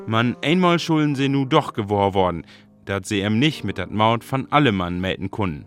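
A man with a Northern German, Low German accent narrating a scripted radio feature as its reporter. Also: lively intonation, moderate pace, over music. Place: radio studio.

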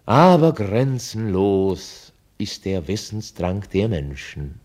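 An actor playing a dry, ironic narrator, reading scripted radio play narration like a documentary report. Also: male voice, fairly low pitch, sing-song, slow, darkish tone, heavily acted. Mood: dry, reportorial.

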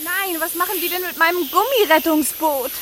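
A cheerful, meddling woman balloonist, chats in a high voice and explains things encouragingly to children. She sounds helpful and busy, in the style of a children's radio adventure. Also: a little fast, very noisy. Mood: dismayed. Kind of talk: children's audio play acting.

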